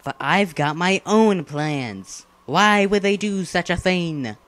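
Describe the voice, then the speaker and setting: A man playing a flamboyant, boastful, playful villain leader in an animated fantasy drama, theatrical and self-assured. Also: high-pitched, slow, heavily acted. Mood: secretive confident. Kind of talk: scripted animated drama dialogue. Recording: background music under the voice.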